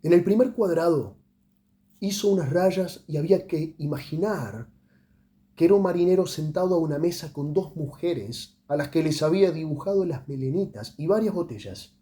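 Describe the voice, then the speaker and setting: A man narrates literary fiction as an audiobook reader. His voice sits a little high for a man, yet it is dark and mellow. He reads dramatically, letting phrases trail away into pauses. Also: lively intonation. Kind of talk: literary short story narration.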